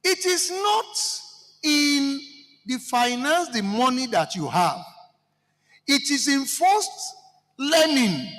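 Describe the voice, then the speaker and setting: A Nigerian man with a Yoruba-speaking accent, addressing a seminar audience with animated, rhetorical questions. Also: very high-pitched, big-room sound. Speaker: seminar participant speaking to the floor.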